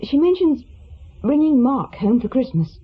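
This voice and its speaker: A middle-aged estranged wife, practical and reproachful. Her very breathy, husky voice moves expressively through terse, pointed remarks. The radio play sound is slightly dull. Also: sits high, sing-song, measured pace, exaggerated voice. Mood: guarded, practical. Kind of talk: naturalistic radio drama acting. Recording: dull, lo-fi radio drama recording.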